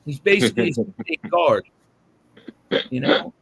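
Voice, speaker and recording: Gravelly American man chatting, slangy. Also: medium pitch, sing-song, brisk, fairly bright tone, low-quality recording. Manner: profane, slangy. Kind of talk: casual chat.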